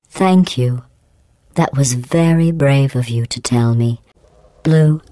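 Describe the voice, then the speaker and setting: A woman voicing a cold, sarcastic female AI overseer in a video game, speaking in a deadpan, mocking, clipped and measured way through a synthetic computer voice effect. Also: rumbling low, no echo.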